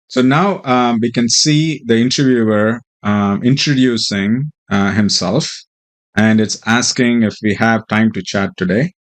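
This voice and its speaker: Male tech presenter, lowish voice, explanatory, hesitant delivery with plenty of filler. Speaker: software developer presenting a demo. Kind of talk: tech tutorial walkthrough and mock interview answers.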